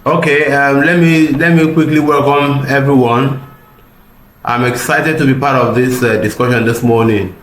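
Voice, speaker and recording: A middle-aged man with a slightly hoarse voice from a cold, giving an online customer service seminar in an earnest way and repeating words for emphasis. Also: medium pitch, fairly even intonation, normal speed, roomy sound.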